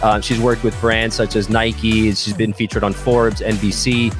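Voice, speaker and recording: A man's voice: lowish voice, little pitch movement, moderate pace, music in the background. A man, hosting a conversational podcast interview into a close studio microphone. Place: small podcast studio at a university. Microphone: close studio microphone on boom arm.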